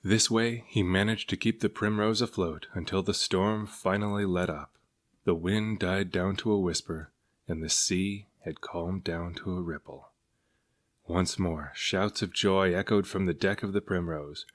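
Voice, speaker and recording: A man with a low-pitched voice narrates a children's picture book. He reads it aloud as scripted storytelling, his voice sitting well down in the male range. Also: steady tone, measured pace. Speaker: storybook reader.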